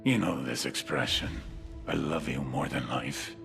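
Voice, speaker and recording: A man playing a brooding, menacing cartel boss in a video game, middle-aged, with a Latin American Spanish accent and a gravelly, measured delivery. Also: very deep voice, over music, exaggerated voice. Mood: tender menace. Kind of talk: cinematic video game acting.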